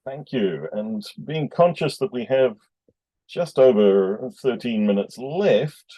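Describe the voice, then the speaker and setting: A man's voice: medium pitch, animated, slow pace, warm tone, poor audio. A man hosting a live online panel discussion, moving speakers along with short, polite cues. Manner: brief, polite moderator cues. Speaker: panel host.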